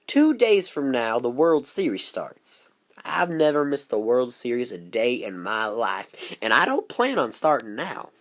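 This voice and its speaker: A woman's voice: a bass voice, very animated, measured pace, pitched below the performer's own voice, heavily acted. A woman voicing a brash, rebellious male psychiatric patient, folksy and defiant, in an amateur film. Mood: insistent. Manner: casual, cocky, needling. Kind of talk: scripted amateur film dialogue.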